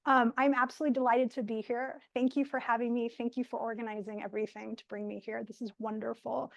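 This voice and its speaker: A woman with a slightly high, soft voice projects it across a lecture room. She speaks with enthusiasm and scattered ums as she lectures. The sound is dry and close. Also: flat delivery, quick pace, creaky, no room sound, highs cut off. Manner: enthusiastic, with fillers. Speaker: guest lecturer. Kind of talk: academic lecture. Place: lecture room, in person and streamed online.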